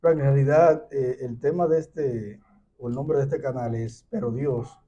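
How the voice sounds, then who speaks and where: Dominican guy hosting a church testimony show, soft dark tone, kinda muffled audio. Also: mid-range voice, steady tone, normal speed. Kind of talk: scripture reading and interviewing. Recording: dull, muffled lo-fi sound.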